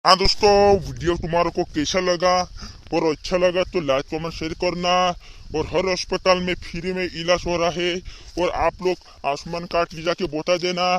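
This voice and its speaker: Male video creator, a little high in pitch, for a colloquial sign-off to viewers. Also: steady tone, normal speed, fairly bright tone. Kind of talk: colloquial outro addressed to viewers.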